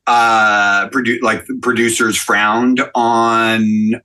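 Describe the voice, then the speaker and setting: Bright-voiced man reminiscing, somewhat low pitch. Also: little pitch movement, very slow. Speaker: television writer, panel guest. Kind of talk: reflective recollection in a podcast conversation.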